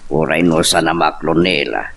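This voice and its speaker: A man's voice: a bass voice, normal speed, gravelly, a bit bright, heavily acted. A man playing an earnest older father in an amateur family love drama, with an Ilocano accent. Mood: sombre. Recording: distant from the microphone.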